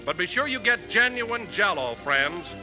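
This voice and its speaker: A man's voice: high-pitched, expressive, a little slow, poor audio. A man announcing on 1940s radio with enthusiastic sales patter. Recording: vintage radio broadcast, music and audience behind.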